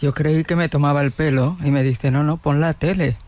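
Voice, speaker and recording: Male interviewer, higher voice, dry close sound, friendly questions. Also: fairly even intonation, normal speed, darkish tone, no echo. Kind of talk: interview hosting.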